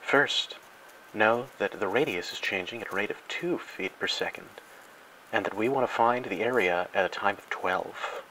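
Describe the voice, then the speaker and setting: A man with a fairly low, bright and forceful voice narrates a spoof educational film. He delivers it deadpan, with mock-serious grandeur, over some faint background noise. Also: normal speed, low-quality recording. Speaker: narrator and host.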